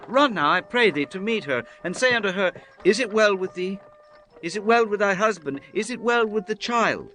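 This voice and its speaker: An actor playing a commanding biblical prophet in a dramatized scripture audiobook, solemn and declamatory. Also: male voice, high voice, lively intonation, normal speed, pushed and bright, a little hiss or hum.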